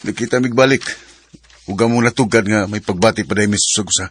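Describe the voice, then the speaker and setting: Quick husky Visayan young boyfriend. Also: male voice, lowish voice, fairly bright tone, dry recording. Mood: cold, dismissive. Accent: Visayan Filipino. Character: smooth-talking, fickle young boyfriend who turns cold. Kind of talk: radio drama acting in Cebuano.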